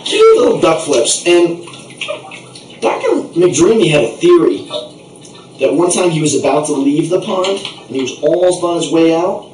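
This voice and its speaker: A man spins an improvised comic tale in a playful, theatrical way. His fairly high voice moves up and down in a lively way as he rambles. It sounds echoey and distant from the mic, with water noise around him. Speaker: puppet show narrator. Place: bathtub in a bathroom.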